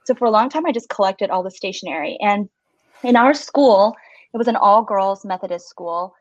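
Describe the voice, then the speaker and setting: A woman's voice: medium pitch, normal speed, no room sound. Thirties woman from Singapore, friendly filler-heavy chat, dry slightly dull line. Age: 30s. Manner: friendly, uses many fillers like "like" and "um". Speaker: stationery shop owner, guest. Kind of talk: casual interview chat.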